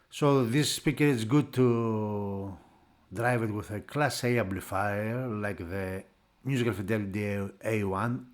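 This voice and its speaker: A man who is not a native English speaker, narrating a product unboxing off the cuff in halting phrases. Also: fairly low pitch. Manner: halting, piecing phrases together. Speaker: product reviewer.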